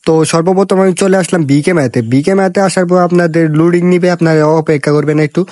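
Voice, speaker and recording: Male tutorial host, Bangladeshi accent, slightly high voice, very fast repetitive step-by-step delivery. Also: steady tone, darkish tone, dry recording, studio quality. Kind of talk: informal phone app tutorial narration.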